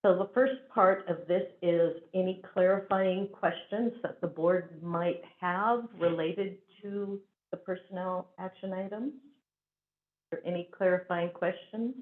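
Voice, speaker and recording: A woman's voice: fairly low pitch, fairly even intonation, unhurried. A woman chairing a school board meeting, running through procedure with frequent fillers. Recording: tinny phone-like audio.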